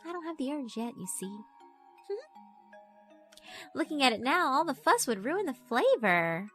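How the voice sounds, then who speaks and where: A woman's voice: high-pitched, sing-song, measured pace, fairly bright tone, soft background music, voice pushed up, full character voice. A woman voicing a mischievous, predatory girl spirit in a horror visual novel, teasing and politely condescending. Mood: calm menace. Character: mischievous, predatory yokai schoolgirl, doll-like, elegant.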